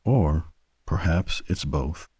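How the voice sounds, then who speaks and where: A man guiding a sleep meditation in a gentle, soothing manner, leaving long pauses between short phrases. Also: very deep voice, expressive, slightly rough, dry recording, studio quality. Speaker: meditation guide. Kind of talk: guided sleep meditation narration.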